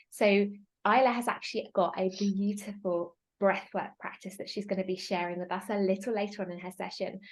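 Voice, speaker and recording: A woman's voice: mid-range voice, poor audio. A woman hosting an online summit, introducing her guest in an effusive, upbeat way. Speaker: summit host. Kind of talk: scripted guest introduction and interview.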